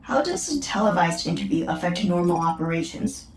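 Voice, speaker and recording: An actress playing an inquisitive, literal-minded coworker who asks precise questions. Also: female voice, fairly low pitch, expressive, fairly quick, lightly acted. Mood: curious. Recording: echoey room.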